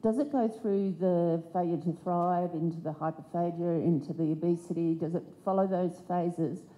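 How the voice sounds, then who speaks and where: Female audience questioner, low and mellow-voiced, hesitant. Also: steady tone, normal speed, low-quality recording. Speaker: audience member asking a question.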